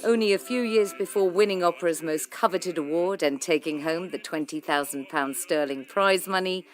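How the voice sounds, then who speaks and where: A woman presenting a radio arts programme, reading scripted narration in a measured, expressive way. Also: sits low.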